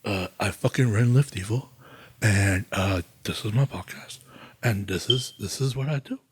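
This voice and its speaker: A man's voice: lowish voice, normal speed, breathy edge. Rambling, profane man hosting a podcast. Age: 30s. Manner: rambling, profane, self-mocking, with fillers. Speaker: podcast host. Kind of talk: casual solo podcast monologue.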